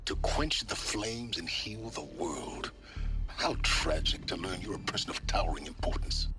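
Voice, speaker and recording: A man playing an aloof, sardonic mentor in a game, speaking in a measured, dry way. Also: sits low. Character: cool, sardonic male mentor, aloof and enigmatic. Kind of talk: cinematic game dialogue. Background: music.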